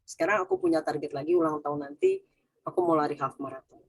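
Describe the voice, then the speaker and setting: A woman in her forties sharing personal stories in a casual group chat over a video call, lively, chatty and quick to laugh. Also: low-pitched, creaky, husky, no echo. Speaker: guest sharing her story.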